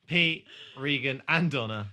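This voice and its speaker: A man's voice: a little high, animated, takes it slowly, sharp tone. A man hosting a podcast in casual chat, rambling, jokey and given to swearing. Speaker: podcast host.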